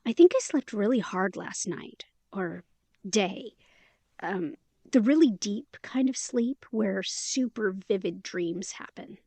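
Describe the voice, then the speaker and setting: A woman's voice: medium pitch, slow, dark tone, no room sound. An actress playing a chatty, eager, sweetly stubborn young woman in a romantic fantasy audio drama, speaking in a conversational, emphatic way. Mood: dreamy, hesitant.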